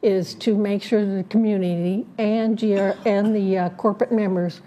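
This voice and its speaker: Woman going thru her list of plans, warm low-key tone, lots of um and uh. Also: steady tone, slight background noise. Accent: Southern Californian. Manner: lists points slowly, many fillers and restarts. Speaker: community board director interviewed as candidate. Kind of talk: prepared statement in an interview.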